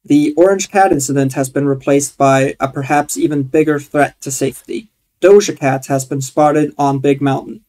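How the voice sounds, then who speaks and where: A man's voice: a little high, steady tone, normal speed, a little mellow. Young man doing a playful radio-DJ patter. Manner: upbeat announcer delivery, playful. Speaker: streamer. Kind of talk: mock radio DJ announcing. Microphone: headset. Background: faint game music.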